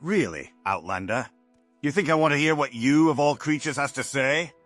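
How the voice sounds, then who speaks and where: A man's voice: fairly high pitch, expressive, moderate pace, fairly bright tone, soft background music. Sneering, impatient fantasy townsman. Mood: disdainful. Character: contemptuous, impatient male fantasy game townsman.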